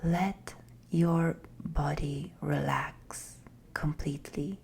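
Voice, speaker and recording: Very slow, low-voiced woman guiding meditation. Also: fairly even intonation, slight background noise. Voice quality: soft, breathy, half-whispered. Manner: gentle, unhurried, soothing, with long pauses. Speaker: meditation guide. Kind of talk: guided meditation, slow scripted narration.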